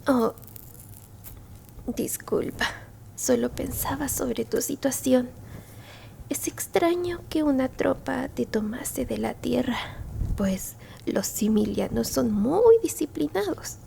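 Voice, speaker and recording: A woman acts out a tender old alien grandmother in an ASMR roleplay, consoling in a slow, breathy whisper. Her voice is soft and mellow with a creaky edge, murmuring gently over faint background noise. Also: high voice, animated, full character voice. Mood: thoughtful. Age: older. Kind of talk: Spanish-language ASMR roleplay, mostly whispered.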